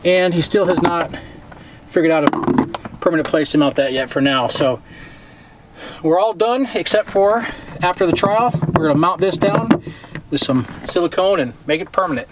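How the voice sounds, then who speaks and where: A man's voice: on the high side, normal speed. An American man narrating a casual hands-on how-to in an informal, plain-spoken way, recorded outdoors on a camera mic. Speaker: DIY boat maintenance video host. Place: outdoors, on a boat parked by a driveway. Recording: faint outdoor background noise.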